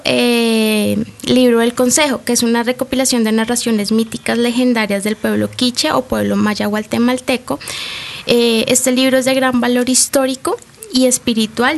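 A woman presenter reading a prepared informative segment in a slightly halting way. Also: fairly high pitch. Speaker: radio show presenter.

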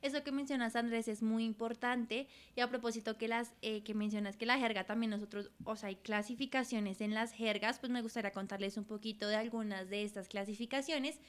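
A woman's voice: a little high, fairly even intonation, fairly quick, few pauses. A woman from Colombia co-hosting a radio program, explaining topics patiently with examples. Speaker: radio show co-host. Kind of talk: educational radio talk.

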